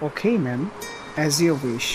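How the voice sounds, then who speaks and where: A man's voice: medium pitch, slow pace, close to an everyday voice. A man acting a polite, easygoing college student who tells his own love story in an audio drama, mixing in English phrases. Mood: playful. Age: young adult. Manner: casual, mixes English phrases into speech. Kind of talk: Bengali audio story acting and first-person narration. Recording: music under the voice.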